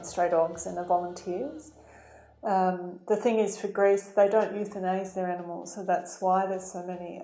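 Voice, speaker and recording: A woman speaks in an earnest, thoughtful way and often pauses on um as she explains her work. Her voice is mellow and warm. The room sounds roomy, with faint music under her. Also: medium pitch. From Australia. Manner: thoughtful, many ums, earnest. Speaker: documentary filmmaker guest. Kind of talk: studio interview answers.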